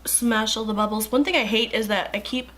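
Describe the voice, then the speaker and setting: A woman's voice: on the high side, a little fast, fairly bright tone. A young woman with a stuffy, congested voice chatting casually through a skincare review, with lots of likes and ums. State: congested from a cold. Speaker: beauty vlogger.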